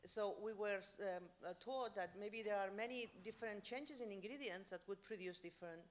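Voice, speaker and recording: A woman's voice: medium pitch, moderate pace. A woman on a conference panel answering questions, hesitant and restarting sentences, on a handheld mic in a large hall. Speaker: panelist researcher. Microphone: handheld microphone.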